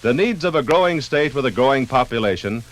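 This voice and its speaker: A man's voice: mid-range voice, animated, fairly quick. A man narrating a scripted 1950s radio documentary in a solemn, rhetorical way. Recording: 1950s radio recording, faint background noise.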